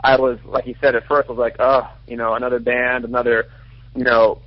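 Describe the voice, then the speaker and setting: A man in his twenties, a band guitarist, explaining earnestly and quickly in a radio interview, often correcting himself. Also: medium pitch, flat delivery, dry recording. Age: 20s. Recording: dry, muffled lo-fi radio recording.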